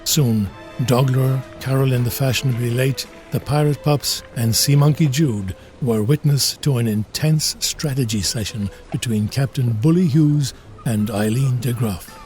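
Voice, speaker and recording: A man narrating a scripted comic adventure as a storybook narrator, in a measured, wry way. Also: mid-range voice, a little mellow, faint music behind, natural voice.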